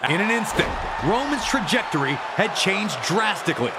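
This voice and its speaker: A man voicing an excitable wrestling storyteller, narrating matches in a scripted, emphatic and dramatic way for a video game. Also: high voice, normal speed, over a noisy crowd. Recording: loud arena noise behind the voice.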